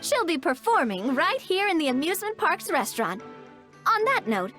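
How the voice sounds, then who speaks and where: High-pitched, genteel young lady president. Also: big pitch swings, moderate pace, a bit bright, exaggerated voice. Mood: upbeat. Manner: polite, eloquent, enterprising. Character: poised, genteel young female business president. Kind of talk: video game voice acting. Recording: music behind.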